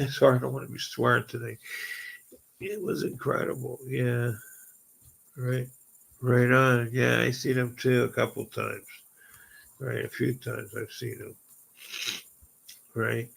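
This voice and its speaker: A man with a Canadian accent hosting a rambling, excitable live stream chat, his voice going hoarse. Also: mid-range voice, slow pace, pauses often. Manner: rambling, excitable, exclaims often.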